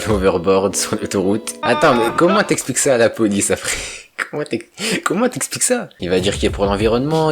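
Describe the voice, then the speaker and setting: A young man hosting a video, reacting to clips in casual, slangy commentary and laughing often. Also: lowish voice.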